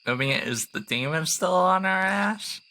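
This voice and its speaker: A man's voice: sits high, expressive, a little slow, bright, forceful tone. A man playing a tabletop role-playing game, bantering casually and improvising as he goes.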